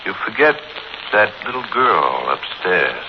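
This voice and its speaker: Menacing American gangster, 1940s radio thriller. Mock-polite, swooping delivery, noisy vintage recording. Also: male voice, deep voice, big pitch swings, dull audio. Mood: taunting. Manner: mock-polite, sneering, slow taunting menace. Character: menacing wounded gangster holding a mother hostage.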